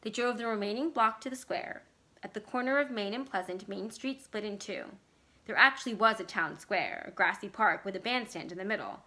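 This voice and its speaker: A woman's voice: medium pitch, a little fast, sharp tone. A middle-aged woman reading a story aloud as narrator. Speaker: library staff reader. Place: small furnished room indoors.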